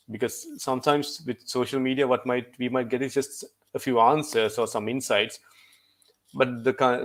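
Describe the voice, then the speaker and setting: A man from Sri Lanka answering a question on an online panel in a measured, analytical way. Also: mid-range voice. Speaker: guest speaker. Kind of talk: panel discussion.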